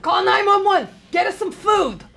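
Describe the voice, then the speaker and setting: Woman playing a creaky-voiced, weary male bar owner. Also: very high voice, lively intonation, moderate pace, fairly bright tone, full character voice. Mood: flustered, placating. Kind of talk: live comedy table read. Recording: live stage, faint room noise.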